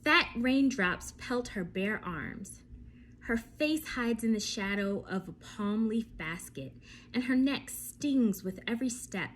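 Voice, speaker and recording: A woman with a fairly high voice reads a story for children. Her delivery is slow and very animated, and she speaks straight to her young listeners with little questions. A light background noise sits behind her. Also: low-quality recording. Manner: expressive, addresses young listeners directly with questions. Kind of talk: read-aloud bedtime story for children, with asides to listeners.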